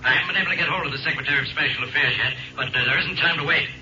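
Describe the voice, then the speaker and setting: An American man playing a brisk, grave army general in a 1950s radio drama, businesslike and urgent. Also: on the high side, sharp tone. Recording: dull, noisy 1950s radio recording.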